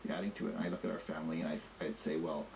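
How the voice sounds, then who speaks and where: A middle-aged man from Canada with an extremely deep, gravelly voice. He answers interview questions thoughtfully, pausing often on fillers. The room sounds echoey, with a faint noise underneath. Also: fairly even intonation, darkish tone. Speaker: interviewee, drummer and editor.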